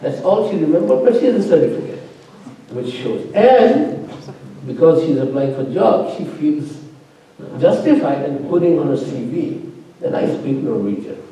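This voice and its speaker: A man's voice: on the high side, very animated, normal speed, mellow. A man serving as faculty opponent at a thesis defense, talking through it discursively with many fillers and restarts, heard from a distance in a large lecture room. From immigrant living in Norway. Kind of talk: spoken academic commentary. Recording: distant, roomy lecture-hall sound.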